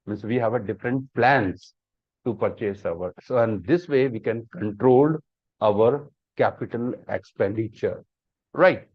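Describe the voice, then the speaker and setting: A man's voice: fairly low pitch, a little slow, dry recording. A man, teaching a live online class on cloud computing and explaining step by step with many repeats and rhetorical questions. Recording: dull lo-fi dry online class audio.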